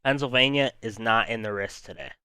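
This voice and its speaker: Man, weather livestream host: calm, meandering delivery, bright crisp tone, dry close sound. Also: mid-range voice, fairly quick, dry recording. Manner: calm, rambling, talks to chat viewers. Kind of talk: unscripted live weather stream.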